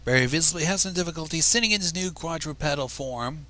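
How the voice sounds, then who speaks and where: A man's voice: a little high, fast talker, pushed and bright. A man reading a story aloud with casual asides, stumbling over words and going back over them. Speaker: fan fiction reader.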